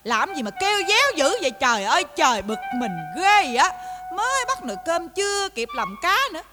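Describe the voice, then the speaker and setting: A woman with a southern Vietnamese accent acting a sharp-tongued, exasperated wife in a comic domestic drama, sarcastic and full of folksy exclamations. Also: very high voice, sing-song, a little fast, music in the background. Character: sharp-tongued, exasperated card-gambling wife nagging her husband. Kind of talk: comedic stage-style domestic drama acting.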